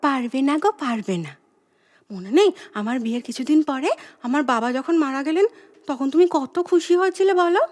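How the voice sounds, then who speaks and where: Childlike, very high-voiced chirpy wife. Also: female voice, expressive, moderate pace, a little mellow. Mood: pleading. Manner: coaxing, repeats words playfully, giggly and theatrical. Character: childlike, chirpy, naive wife, cheerfully wheedling her exasperated husband. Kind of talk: comic audio drama acting.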